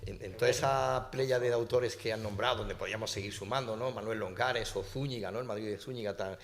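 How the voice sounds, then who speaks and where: A man's voice: on the low side, fairly even intonation, a little fast. A man moderating a literary panel, prompting the panelists and addressing them by name. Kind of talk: moderating a round-table discussion. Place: lecture hall at a public panel. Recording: reverberant hall.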